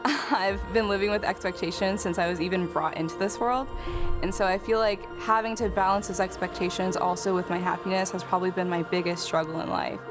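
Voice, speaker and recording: Young woman, twenties, earnest casual interview, mid-pitched, music bed. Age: 20s. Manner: earnest, casual, with light laughs. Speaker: graduating student interviewed for video. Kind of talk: reflective interview talk. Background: background music.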